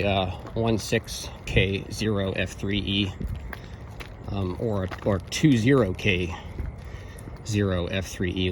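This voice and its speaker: A man with a fairly low voice talks through gear in an informal, explanatory review. He speaks haltingly and technically, piecing it together unscripted. Clear traffic and outdoor noise sits behind him. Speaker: radio equipment reviewer.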